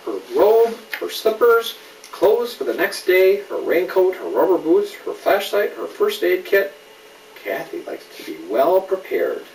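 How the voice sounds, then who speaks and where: Man, animated read-aloud, patient explanations, questions to a child, echoey room, faint noise. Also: high-pitched. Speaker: father reading a story to a child. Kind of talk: read-aloud picture book storytelling with casual asides. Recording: roomy, distant mic, faint background noise.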